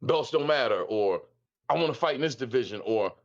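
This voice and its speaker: A man's voice: mid-range voice, expressive, a little fast. A man, calling in and talking bluntly in slang, repeating himself. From South Philadelphia. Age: 50s. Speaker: caller. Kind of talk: call-in chat. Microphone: Discord call. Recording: muffled call audio.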